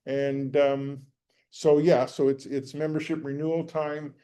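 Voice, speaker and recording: A man's voice: medium pitch, flat delivery, a little slow, dark tone. A man hosting a nature club meeting, giving casual announcements with lots of fillers and restarts. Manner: rambling with many fillers and restarts.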